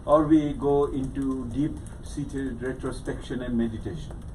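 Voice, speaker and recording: A man's voice: mid-range voice, fairly even intonation, measured pace, darkish tone. A man moderating a panel discussion, asking questions and summarizing points. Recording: distant mic with background noise.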